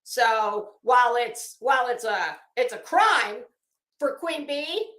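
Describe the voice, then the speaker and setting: A woman with a fairly high, forceful voice talks in a roomy, echoing space. She is sarcastic and blunt, leaning on words for dramatic emphasis. Her commentary is unhurried and off the cuff, salted with swearing. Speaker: commentary host, live streamer. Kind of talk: reading a document aloud with mocking off-the-cuff commentary. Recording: echoey, roomy sound.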